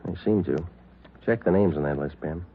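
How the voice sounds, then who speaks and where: A man acting as a terse, businesslike police detective in an old radio drama, deadpan and matter-of-fact. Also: sits low, moderate pace, a little hiss or hum. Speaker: lead actor and narrator. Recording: tinny vintage radio, faint music.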